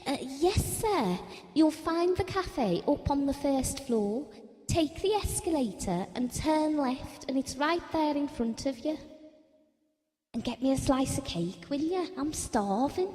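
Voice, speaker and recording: An actress playing a chatty, down-to-earth working-class wife in an audio drama, speaking in a colloquial, confiding way. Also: female voice, high-pitched, animated, a little slow, warm tone, long reverb tail. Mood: bright, cheeky.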